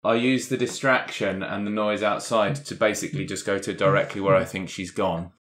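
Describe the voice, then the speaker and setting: A man playing a tabletop roleplaying game, describing his character's actions in a casual, measured way. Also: fairly low pitch, fairly even intonation, fairly bright tone.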